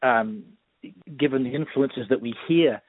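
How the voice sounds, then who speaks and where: A man interviewing a musician on the radio, asking questions in a brisk, friendly way. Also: mid-range voice, no room sound. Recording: dry phone-like radio line.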